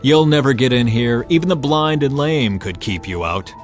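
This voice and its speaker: A man's voice: medium pitch, expressive, moderate pace, faint music behind, natural voice. A man voicing overconfident, taunting city defenders in a mocking, emphatic way, in a scripture audiobook.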